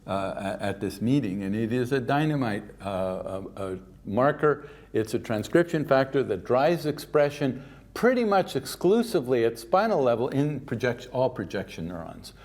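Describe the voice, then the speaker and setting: An older man from Canada delivers a keynote lecture at a scientific conference. He talks in a lively, informal way and drifts into offhand asides, his voice sitting at a middle pitch. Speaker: keynote speaker.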